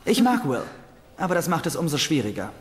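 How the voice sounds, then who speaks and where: An actor playing an eager, earnest, naive young doctor in a TV comedy dub. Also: male voice, fairly high pitch, very animated, brisk, husky. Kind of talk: German-dubbed TV comedy acting and narration.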